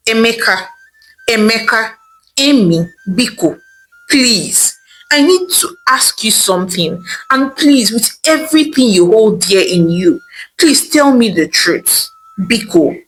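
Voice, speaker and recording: Distraught, pleading young wife, very high and tearful, voiced by a woman. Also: lively intonation, takes it slowly, fairly bright tone, soft background music, low-quality recording. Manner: tearful, pleading, repeats words.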